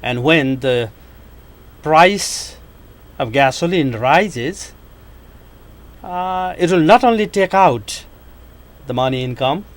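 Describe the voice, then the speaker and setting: A man from Nepal speaks in a university TV studio. He is in his fifties and answers questions in an unhurried, careful way. He pauses often and fills the gaps with hesitations. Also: on the high side, animated. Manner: measured, hesitant, with frequent pauses and fillers. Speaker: economics professor, guest.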